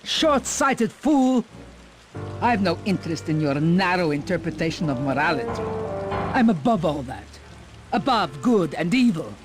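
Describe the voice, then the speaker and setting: An actress voicing a haughty, cunning villainess in a video game, speaking in a pompous, contemptuous and theatrical way. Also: female voice, fairly low pitch, big pitch swings, unhurried, music in the background. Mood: haughty, menacing. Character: haughty, cunning aristocratic villainess, a sinister intellectual creature.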